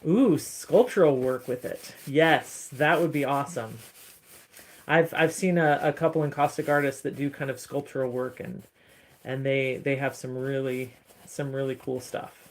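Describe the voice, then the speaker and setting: A woman with a deep voice chatting casually on a phone livestream from her studio, rambling a little as she answers viewers' comments. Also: steady tone, moderate pace. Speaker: encaustic artist streaming live. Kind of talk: casual livestream chat while working.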